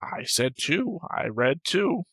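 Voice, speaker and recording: A man's voice: on the high side, very animated, takes it slowly, fairly bright tone, husky, no echo. A man hosting a reaction show in casual banter, reading out comments and arguing his points with wisecracks.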